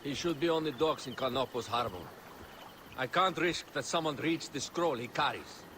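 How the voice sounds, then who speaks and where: A man playing a refined, cautious middle-aged political advisor to a queen in a video game, speaking in a formal, measured, courtly manner. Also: medium pitch, bright, forceful tone, slight background noise, natural voice. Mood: measured, approving. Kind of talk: video game cutscene acting. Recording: music behind the voice.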